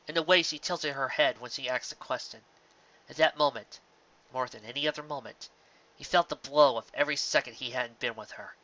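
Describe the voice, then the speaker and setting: Guy narrating a story, dramatic n earnest, bright voice, slightly muffled home recording. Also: mid-range voice. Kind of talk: dramatic scripted fiction narration.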